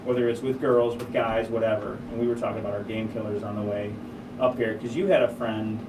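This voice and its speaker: A man co-hosting a comedy radio show in a small studio, talking in an animated, friendly way with many fillers. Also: on the low side, fairly quick, far from the mic. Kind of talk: casual comedic chat. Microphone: studio boom mic with headphones. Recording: echoey studio, off-mic with hiss.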